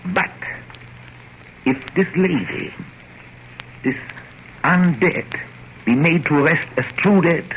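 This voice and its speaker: Older professor, grave vampire hunter, deliberate and probing, old radio drama w/ some hiss. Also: male voice, fairly high pitch, muffled recording. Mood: solemn, commanding. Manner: deliberate, probing, rhetorical, stilted grammar. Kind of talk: 1930s radio drama acting. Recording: noisy old 1930s radio recording.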